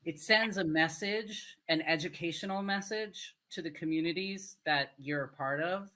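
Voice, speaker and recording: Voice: medium pitch, normal speed, pushed and bright. An interviewer in a conversational interview, supportive and affirming, often adding short agreements. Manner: supportive, affirming, frequent backchannels.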